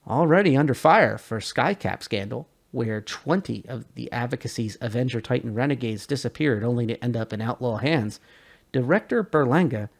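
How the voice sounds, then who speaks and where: Man with a faintly gravelly voice presenting game lore. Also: medium pitch. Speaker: host reading game lore and giving analysis. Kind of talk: dramatic read of game lore, then casual analysis.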